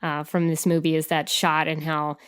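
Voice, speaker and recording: A woman's voice: low-pitched, flat delivery, moderate pace, clear, resonant tone. A woman co-hosting a podcast, discussing film casually and somewhat hesitantly as she thinks aloud. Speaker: podcast co-host.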